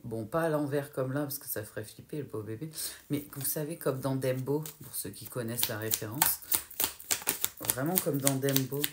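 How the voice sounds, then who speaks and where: A woman's voice: very deep voice, background noise. A woman from France giving a casual, rambling tarot guidance reading and hesitating often as she relays what her guides show her. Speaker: tarot card reader.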